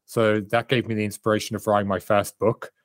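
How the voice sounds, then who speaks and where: A man's voice: on the low side, little pitch movement, a little fast, dry recording, studio quality. A man in his thirties from South Africa, a performance coach and author answering interview questions in a candid, reflective way over a remote call.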